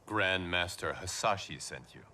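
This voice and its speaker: An actor playing an earnest, principled warrior monk in a video game, speaking formally. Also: male voice, low-pitched, expressive, measured pace, noisy background. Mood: reasoning. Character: earnest young male warrior monk, loyal, principled, defiant. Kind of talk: video game cutscene acting. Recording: loud game music behind. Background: loud cinematic music.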